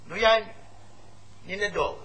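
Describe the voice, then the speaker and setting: An actor playing a nosy, sly old village grandfather with a creaky voice, feigning innocence in a stage comedy. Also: high voice, measured pace, sharp tone, slight background noise. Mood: sly, grumbling. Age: older. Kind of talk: theatrical stage comedy acting. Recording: old Soviet theatre recording with music.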